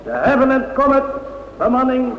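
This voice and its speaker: A man playing a formal air race official who speaks in a measured, declamatory, ceremonial way in a radio play. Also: extremely high pitch, sing-song. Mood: solemn, ceremonial. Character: formal race official announcing starters and giving speeches. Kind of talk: radio play public announcement. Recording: echoing, dull, slightly noisy old radio recording.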